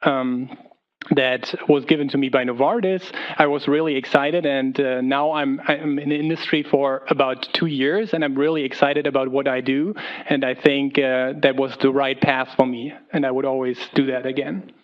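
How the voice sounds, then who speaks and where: Man, German accent, panel talk, relaxed, lo-fi recording. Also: mid-range voice, moderate pace. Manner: relaxed, with many fillers. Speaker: panelist, industry chemist. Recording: dull lo-fi room recording.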